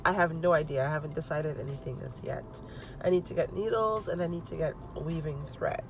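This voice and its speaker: A congested woman chatting casually in a vlog, talking her plans through out loud. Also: deep voice, brisk, vocal fry, slight background noise. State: congested from allergies. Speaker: vlogger. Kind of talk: casual vlog chat to camera.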